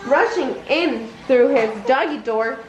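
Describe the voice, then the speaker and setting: A woman reads a children's bedtime story in a high voice. Her delivery is slow and deliberate, paced like a picture book read-aloud. The room adds a clear echo around her words. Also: highs cut off. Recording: roomy echo, noticeable background noise.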